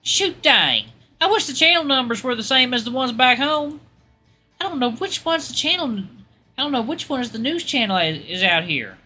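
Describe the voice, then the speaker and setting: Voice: high voice, big pitch swings, normal speed, pushed and bright, soft background music, voice pushed up, exaggerated voice, rough recording. A performer voicing a friendly, folksy young female college student with a Southern accent, polite and eager to help. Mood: mildly frustrated.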